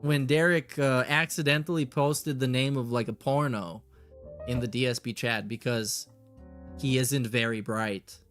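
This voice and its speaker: A man's voice: medium pitch, fairly even intonation, measured pace, fairly bright tone, soft background music. A man hosting a reaction show in a casual, blunt, sardonic way, reading messages aloud and swearing as he comments.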